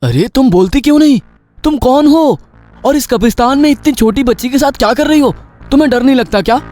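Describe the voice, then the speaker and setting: A man playing a puzzled, dutiful police inspector in an animated horror story, in a dramatic, questioning manner. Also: very high voice, a little fast, faint music behind. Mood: demanding.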